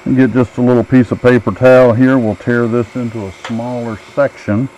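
A middle-aged man with a mid-pitched voice talks through his woodturning job in a calm, plain-spoken way. He is recorded close on a wearable mic in his home shop. A running lathe and tool noise are clearly heard behind him. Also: fairly quick, poor audio. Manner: calm, plain-spoken, thinks aloud while working. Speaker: woodturning instructor. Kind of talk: relaxed step-by-step workshop narration.

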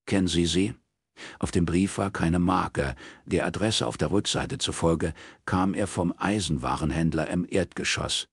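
A man reads crime fiction as a scripted audiobook. His voice is deep and creaky, captured in a dry, close recording. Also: a little slow, dry recording. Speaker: audiobook narrator. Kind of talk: scripted crime novel narration.

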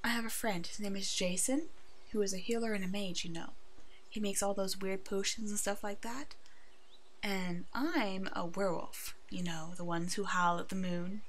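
A woman voicing a brave, protective werewolf in an ASMR roleplay, soothing and halting, with a soft, hushed delivery. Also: medium pitch, steady tone, slight background noise, close to an everyday voice. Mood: friendly chatter.

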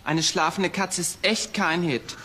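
A woman's voice: on the low side, steady tone, measured pace, sharp tone, a little hiss or hum. A woman playing a snappy, sarcastic film make-up artist in a children's radio drama, theatrical and condescending.

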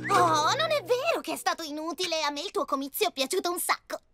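Very high, perky cartoon girl. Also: sing-song, fast, dry recording, studio quality, heavily acted. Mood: eager. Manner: bouncy, eager, exclamatory. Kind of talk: animated cartoon dub acting. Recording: loud music behind the voice.

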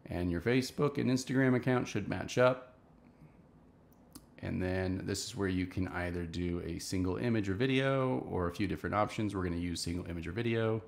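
A man in his thirties with a low-pitched voice. He narrates a screen-share tutorial casually, in clear instructional steps. He speaks into a desk microphone in a small home office. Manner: instructional, step-by-step. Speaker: marketing tutorial host.

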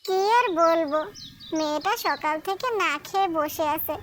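A woman's voice: very high-pitched, fairly even intonation, moderate pace, a bit bright. A woman voicing a poor, meek housemaid and worried mother in a cartoon, plaintive and deferential. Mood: sorrowful. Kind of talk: cartoon dialogue. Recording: noisy track, chirping birds behind.

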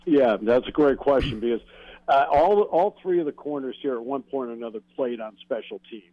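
A man's voice: mid-range voice, a little fast, no room sound. Male American sports broadcaster, guest analyst, emphatic explainer, dull remote-line sound. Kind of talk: sports radio interview, analytical answers. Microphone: remote call-in connection. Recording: narrow, dull phone-like call-in line.

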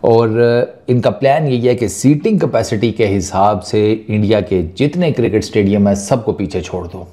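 Man, 30s; relaxed, joking sports host, mellow tone. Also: fairly low pitch, normal speed, hardly any pauses. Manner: chatty and joking, mixing in English words, reels off lists. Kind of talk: casual talking-head sports commentary. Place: small furnished studio set indoors. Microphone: lapel mic.

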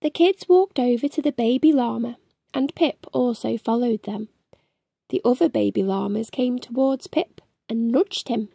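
A middle-aged woman hosting a children's storytime, reading aloud in an expressive, sing-song way. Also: a little high, moderate pace, vocal fry, breathy edge, no room sound, clean studio sound. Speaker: children's storytime host and reader. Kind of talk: animated read-aloud for young children. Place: small furnished room at home.

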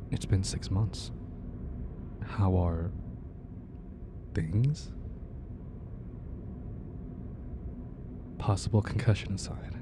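A man playing a worried, caring ex-boyfriend in an intimate audio roleplay, soft-spoken and hesitant, gently insistent, with halting pauses. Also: deep voice, background noise. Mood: awkward, concerned. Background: ambient sound effects.

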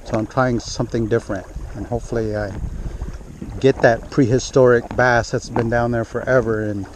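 Man vlogging outdoors, clear background noise. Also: medium pitch, fairly even intonation, moderate pace. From central California. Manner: informal, chatty, talks to the fish. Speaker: fishing vlogger. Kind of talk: casual narration to camera while fishing. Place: outdoors on a lake shore. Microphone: action camera worn on the body. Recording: clearly noisy outdoor action-camera audio, wind.

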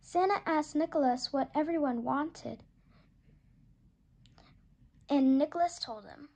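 Slow, high-voiced woman reading aloud. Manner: slow, gentle and expressive, with animal voices. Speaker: children's book reader. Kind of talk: read-aloud storytelling. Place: indoors at a table, turning a picture book.

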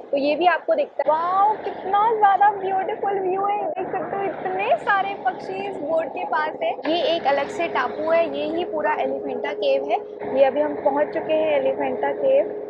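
A woman's voice: very high-pitched, steady tone, moderate pace, noisy background. A young woman filming a travel vlog outdoors on her phone, chatting upbeat as she explains each stop like a tour guide. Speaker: travel vlogger. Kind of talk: casual travel guide narration. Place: outdoors on a ferry and a busy island path. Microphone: phone camera held at arm's length. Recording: dull lo-fi phone audio with a crowd behind.

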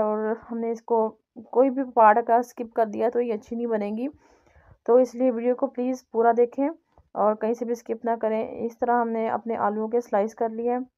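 Female home cooking host. Fairly even intonation, repetitive instructional delivery, muffled lo-fi sound. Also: a little high. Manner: explains step by step, repeating instructions. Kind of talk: instructional recipe narration. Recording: dull, lo-fi home recording.

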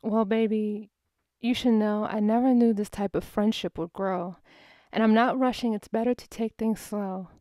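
A woman reading her own poetry as spoken word, intimate and expressive, with phrases dropping into breathy softness. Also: mid-range voice, normal speed, few pauses, no room sound, studio quality. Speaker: poet reading own work.

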